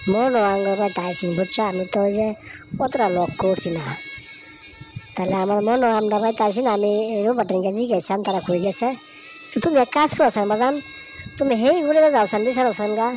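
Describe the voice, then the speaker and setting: A woman's voice: medium pitch, close to an everyday voice. A woman voicing an older village auntie, a chatty, rustic and gossipy neighbour in a cartoon. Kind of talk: Bengali moral-story cartoon dialogue. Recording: loud music behind the voice.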